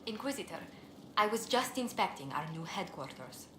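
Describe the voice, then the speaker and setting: A refined woman diplomat in a fantasy video game, earnest and courteous. She has a medium-pitched voice and formal phrasing. The recording is echoey, with clear noise behind it. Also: animated, normal speed, sharp tone. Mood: concerned. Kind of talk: scripted video game dialogue.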